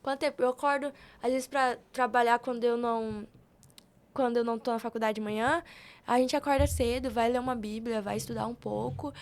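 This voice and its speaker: A woman's voice: a little high, steady tone, a little fast. A young woman with a Brazilian Portuguese accent, speaking casually and emphatically as a podcast guest, with slangy repetition. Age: young adult. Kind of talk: casual, chatty interview answers.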